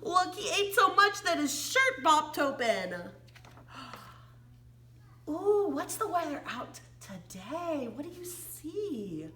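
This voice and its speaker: American woman, children's storytime teacher: high, swooping voice, unhurried pace, playful sing-song reading. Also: very animated, pushed and bright. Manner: exaggerated, playful delivery with gasps and questions to small children.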